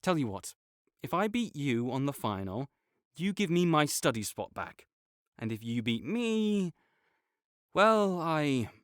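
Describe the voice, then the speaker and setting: A man playing a prickly, lively academic rival. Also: medium pitch, no echo, studio quality. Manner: teasing, defensive, sarcastic. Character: prickly young male academic rival, grudgingly kind. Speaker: voice actor in audio roleplay. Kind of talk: audio roleplay acting to a silent listener.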